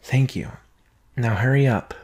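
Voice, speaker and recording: A man playing an earnest, slow-spoken vampire boyfriend. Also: medium pitch, a little mellow, slightly rough. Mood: apologetic, nervous. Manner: halting, sighing, earnest delivery. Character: anxious, protective vampire boyfriend confessing his secret. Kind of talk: intimate roleplay ASMR audio drama.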